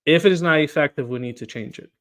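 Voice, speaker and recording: A man's voice: a little high, fast talker. A man from Portugal, a political candidate answering interview questions in an earnest way, often repeating words as he starts a phrase. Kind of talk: podcast interview.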